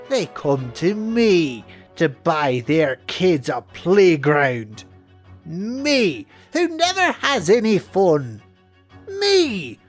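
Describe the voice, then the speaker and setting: A man's voice: on the high side, heavily acted. A man voicing a miserly, cranky old cartoon duck tycoon in an indignant rant. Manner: indignant, ranting. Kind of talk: amateur cartoon voice acting.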